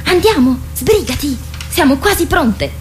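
An actress in a radio drama playing a boastful, impatient teenage warrior girl, bossy and excitable. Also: high-pitched, a little slow, background noise. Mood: urgent, eager.

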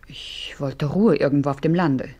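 Low, husky, guarded young woman. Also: normal speed, mellow. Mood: guarded, curt. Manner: terse, reserved. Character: guarded, evasive young woman of twenty-four. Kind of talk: 1950s radio drama acting.

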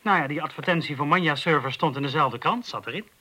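A man's voice: fairly high pitch, quick pace, bright, forceful tone. An actor in a Dutch radio drama playing a cocky, wisecracking male police detective with a blustering, colloquial manner. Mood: teasing.

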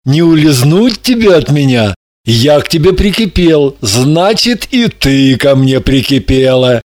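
A man's voice: on the high side, big pitch swings, a little slow, a little mellow, no echo, clean studio sound, voice pushed down. A man voicing a cocky, condescending young man with a smug drawl, in an audiobook.

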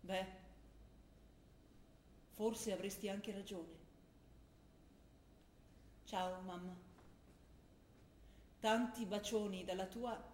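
A woman's voice: mid-range voice, darkish tone, close to an everyday voice. An actress playing an earnest young revolutionary woman, in a stage play. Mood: tender. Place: theatre stage. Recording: echoey stage sound with faint noise.

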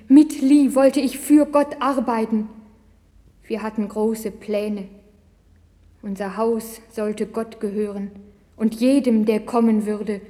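A woman's voice: on the high side, mellow. Woman acting a despairing young woman, long reverb. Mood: anguished, reproachful. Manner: anguished, pleading, dramatic. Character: despairing young Chinese woman with leprosy, losing her faith. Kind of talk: religious audio drama acting. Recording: long hall reverb, vintage vinyl record.

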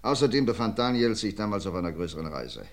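An actor playing a methodical police inspector with dry wit, in 1950s radio crime drama style, measured and reading out case files. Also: male voice, on the low side, very animated. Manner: measured, reads out files, wry asides.

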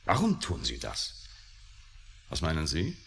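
An actor in a science fiction radio play, playing an intense, defiant scientist who speaks in an emphatic, pensive way. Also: male voice, very deep voice, huge pitch swings, measured pace, gravelly, fairly bright tone, full character voice. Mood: pensive, brooding. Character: intense, brooding, defiant scientist, grown man. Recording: faintly hissy old radio drama recording.

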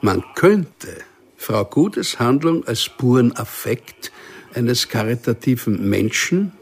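An actor playing an unremarkable, quietly dangerous civil servant in a radio crime drama, speaking methodically with weighty pauses. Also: male voice, mid-range voice, sing-song, slightly rough, exaggerated voice. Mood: dry, methodical. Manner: deliberate, enumerating, with weighty pauses.

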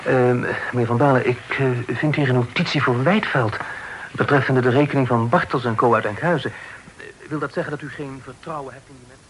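A man playing an earnest, courteous young gentleman in a radio drama, speaking politely and persuasively. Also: mid-range voice, brisk, close to an everyday voice. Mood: businesslike. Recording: noisy old radio drama recording.

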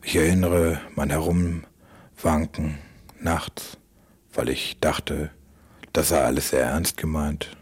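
A man delivering a halting, brooding spoken-word monologue for radio, repeating phrases and often dropping toward a whisper. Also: a bass voice, fairly even intonation. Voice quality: husky, breathy.

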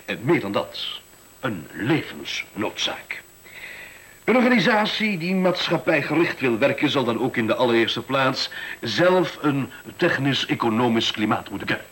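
Animated, self-important school director. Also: male voice, mid-range voice, measured pace, husky, full character voice, low-quality recording. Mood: lecturing, self-assured. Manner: hesitant fillers, officious, lecturing. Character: defensive, self-important male school director pitching efficiency. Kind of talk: Dutch radio play acting.

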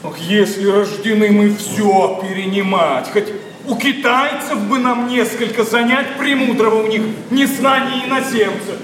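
A disillusioned young aristocrat railing at society in theatrical verse. His voice is high and declamatory, edged with sarcasm. It is heard at a distance in a reverberant hall, through strong noise. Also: a little slow, few pauses, fairly bright tone. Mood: indignant fervor. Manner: declamatory, sarcastic, mimics people he mocks. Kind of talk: theatrical verse monologue, radio play. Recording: noisy, echoing theatre hall from afar.

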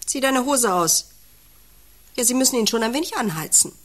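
An actress playing a volatile, possessive wife who coos and commands in a satirical radio drama. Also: female voice, on the high side, animated, normal speed, fairly bright tone. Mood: bossy, cooing. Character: volatile, possessive wife, by turns cooing, bossy and desperate.

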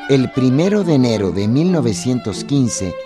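A man's voice: mid-range voice, very animated, normal speed, over music. A man narrating a scripted historical radio drama.

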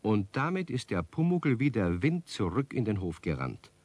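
A man narrating a children's radio play as an unhurried storyteller. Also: fairly low pitch, sing-song, a little mellow, slight background noise. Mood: storytelling. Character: unhurried male storyteller addressing young listeners.

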